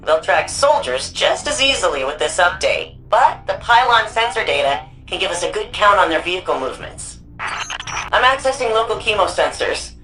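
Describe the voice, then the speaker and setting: A woman's voice: mid-range voice, very animated, moderate pace, sharp tone, faint music behind, poor audio. An actress playing a wry, anxious woman archivist and resistance hacker in a sci-fi video game, speaking in a casual, conversational way. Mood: focused, matter-of-fact. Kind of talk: video game mission dialogue.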